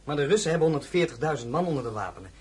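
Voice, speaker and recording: An actor playing a dutiful, deferential count in a period radio drama, speaking formally. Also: male voice, on the high side, big pitch swings, very fast, a crowd in the background. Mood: concerned.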